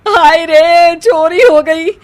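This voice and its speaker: A woman's voice: very high-pitched, slow pace. A woman voicing a haughty, nagging older mother-in-law in a family cartoon, scornful and melodramatic. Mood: angry wailing. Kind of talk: Hindi family cartoon dubbing.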